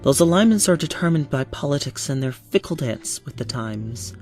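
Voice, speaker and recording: A man playing a stern, cryptic veteran soldier and mentor, lecturing in a measured, solemn way for a parody. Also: mid-range voice, expressive, darkish tone, faint music behind, lightly acted. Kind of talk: parody dub acting.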